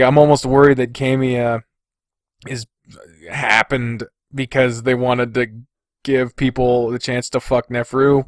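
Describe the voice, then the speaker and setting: A man's voice: medium pitch, dry recording, clean studio sound. A man hosting a casual podcast on his own, thinking aloud in a hesitant, deliberating way and swearing freely. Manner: hesitant and deliberating, sighing, profane. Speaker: podcast host.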